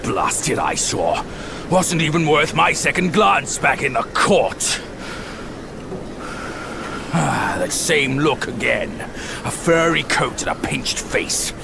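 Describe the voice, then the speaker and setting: A man's voice: a little high, very animated, slow, creaky, pauses often, music in the background, exaggerated voice. A man voicing a brash, sarcastic, grumbling beast warrior in a mythic video game, crude and theatrical in manner. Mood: sardonic, grumbling. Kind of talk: dramatic video game character acting.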